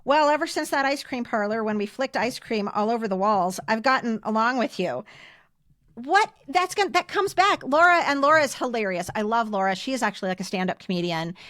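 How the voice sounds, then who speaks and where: A woman's voice: a little high, lively intonation, fairly quick, a bit bright, no echo, studio quality. A woman in her fifties with an American accent, telling stories and reading aloud casually as a podcast co-host.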